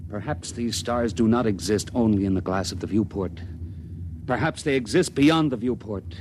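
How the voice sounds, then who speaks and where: An actor playing an earnest young ship attendant who speaks in hushed, urgent tones in a 1950s radio drama. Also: male voice, lowish voice, normal speed, close to an everyday voice. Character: young male ship attendant, questioning and tender. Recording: faint hiss of an old radio broadcast.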